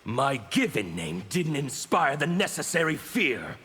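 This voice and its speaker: Fanatical game villain, slow menacing delivery, wildly swooping pitch, theatrical, gravelly, reverberant. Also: male voice, very animated. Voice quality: gravelly, forceful. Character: fanatical burned male anarchist revolutionary villain. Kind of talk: theatrical video game character acting. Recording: long reverb, faint background noise.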